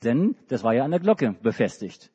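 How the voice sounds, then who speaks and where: Middle-aged preacher, lively, hall echo. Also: male voice, mid-range voice, moderate pace, breathy edge. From Hamburg, Germany. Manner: storytelling to children, with many restarts and hesitations. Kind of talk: sermon with a children's story. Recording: dull recording in a reverberant hall.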